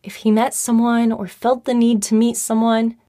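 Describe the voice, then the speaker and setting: A woman's voice: a little high, level tone, mellow, natural voice. Level-headed young female friend played by an actress. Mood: reasoning, placating. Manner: measured, conversational, placating. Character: calm, reasonable young female friend giving gentle counsel. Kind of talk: naturalistic audio drama acting.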